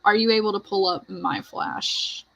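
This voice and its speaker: Casting: woman livestream host, bright voice with a husky edge, chatty and informal. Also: medium pitch, fairly even intonation, measured pace. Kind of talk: casual chat on a writing livestream.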